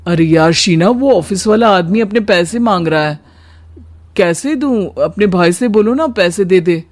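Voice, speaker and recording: A woman's voice: medium pitch, lively intonation, measured pace. A woman voicing a weary, put-upon husband in a cartoon story, plaintive and sighing. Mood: fed up, worried.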